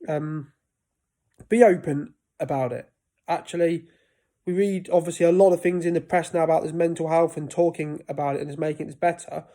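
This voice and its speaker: A man's voice: a little high, warm tone. Man in his thirties who stutters. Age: 30s. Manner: stutters, repeats and restarts words. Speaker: vlogger. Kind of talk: casual talk to camera.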